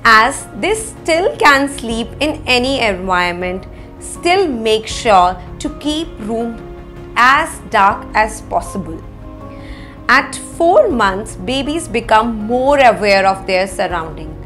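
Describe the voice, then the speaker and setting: A woman in her thirties with an Indian accent presents an explainer lesson to camera, phrasing things deliberately and with emphasis. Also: on the high side, big pitch swings, fairly bright tone. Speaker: parenting educator, presenter. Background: background music.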